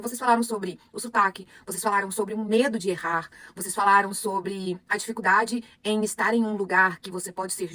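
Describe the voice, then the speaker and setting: Brazilian woman, young adult, language teacher, fast and animated, dry close sound. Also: mid-range voice, no room sound. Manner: animated, rhetorical questions to the audience. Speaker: Spanish teacher. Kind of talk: motivational lesson to followers.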